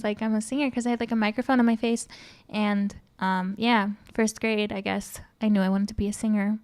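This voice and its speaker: Bubbly woman in her twenties, podcast host, high voice, dry close sound. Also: clear, resonant tone, no room sound. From Chicago, Illinois. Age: 20s. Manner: bubbly and giggly, slangy. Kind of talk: casual podcast chat.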